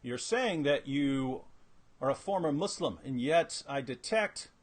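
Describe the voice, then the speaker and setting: A man's voice: medium pitch, lively intonation, measured pace. A man hosting a live interview over a video call, asking questions and cracking teasing jokes. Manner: joking, teasing. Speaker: host.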